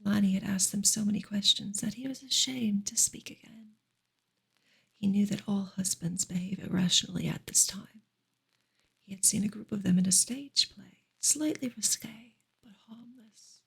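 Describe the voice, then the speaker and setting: A woman reading a book aloud for ASMR in a soft, breathy, unhurried whisper. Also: mid-range voice. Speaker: ASMR reader and streamer.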